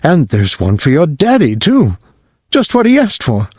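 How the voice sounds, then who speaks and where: A man's voice: fairly high pitch, huge pitch swings, a little slow, darkish tone, no echo, clean studio sound, pitched above the performer's own voice, exaggerated voice. Stern peasant dad, firm and a touch raised, man voicing him. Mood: reading aloud. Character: gruff proud poor peasant father.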